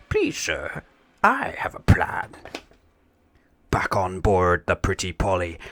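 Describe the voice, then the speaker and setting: Male narrator for children's storybook: slow, deliberate pacing, crisp bright tone, close dry sound. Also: lowish voice. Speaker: storybook reader.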